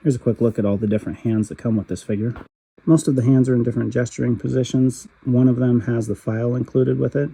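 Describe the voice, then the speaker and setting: A man narrating a toy figure review, a little raspy from a cold, in a steady, matter-of-fact way. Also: mid-range voice, fast talker, low-quality recording. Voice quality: husky. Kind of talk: calm voice-over product review.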